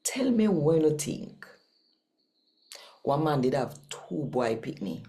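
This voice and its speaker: Very deep, unhurried Jamaican woman. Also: very animated, mellow. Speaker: channel host and scripture reader. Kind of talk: devotional reading and channel welcome.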